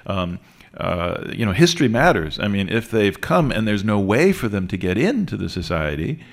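A man's voice: on the low side, sing-song, normal speed, gravelly. A man on a radio panel making pointed arguments, hesitating with many fillers. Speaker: panel guest.

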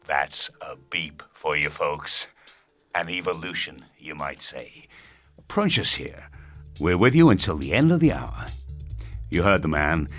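A man playing a grandiose, theatrical radio host in a dark audio drama. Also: a bass voice, breathy edge, heavily acted. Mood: showy on air. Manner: pompous, cryptic, showmanlike. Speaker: radio presenter character.

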